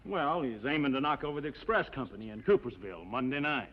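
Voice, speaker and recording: Male actor as restless American western gang member, lightish husky voice, hesitant, muffled old TV audio. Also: medium pitch, animated, fast, lightly acted. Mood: wary, grumbling. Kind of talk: 1950s television western acting. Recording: dull old TV western soundtrack.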